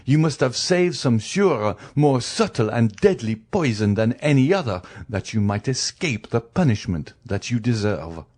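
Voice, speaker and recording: A man's voice: medium pitch, very animated, moderate pace, few pauses, a little mellow, pitched above the performer's own voice. A man playing a stern, merciless magistrate condemning his wife in a cold, commanding, oratorical way in a dramatic story reading. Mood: stern, accusing.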